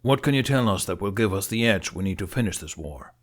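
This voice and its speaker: A man's voice: lowish voice, animated, quick pace. A man voicing a stern middle-aged Viking father and chieftain in an audiobook, blunt and pressing. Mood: stern, probing. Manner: direct, pressing.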